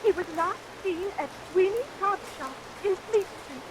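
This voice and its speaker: A woman's voice: very high voice, lively intonation, moderate pace. A woman playing a fretful, lovelorn young daughter in a vintage radio melodrama, plaintive and genteel. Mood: anxious, pleading. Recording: vintage radio recording, hissy rain noise.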